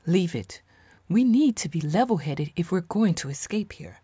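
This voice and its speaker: A woman playing a practical, level-headed fugitive leader in an audio drama, brisk, urgent and decisive. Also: lowish voice, expressive, warm tone, husky, no echo, lo-fi sound, soft background music. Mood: steadying.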